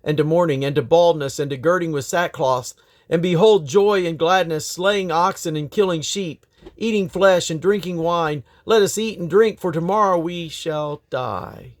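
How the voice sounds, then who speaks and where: A man's voice: a little high, moderate pace. A man reading scripture aloud in a solemn, declamatory manner. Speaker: Bible reader.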